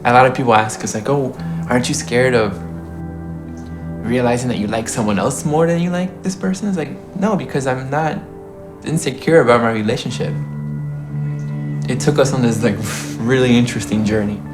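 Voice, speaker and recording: A man's voice: medium pitch, normal speed. A man in his twenties from Brazil, talking candidly and laughing in a documentary interview. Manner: candid, laughing, chatty with fillers. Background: soft music score.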